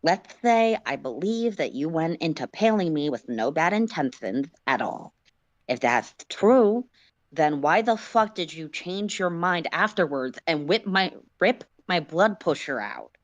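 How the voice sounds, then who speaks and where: A woman voicing a bitter, wounded male alien who interrogates with sharp, accusing demands in a story reading. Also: low-pitched, lively intonation, measured pace, no room sound, lo-fi sound, pitched above the performer's own voice. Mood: bitter, demanding.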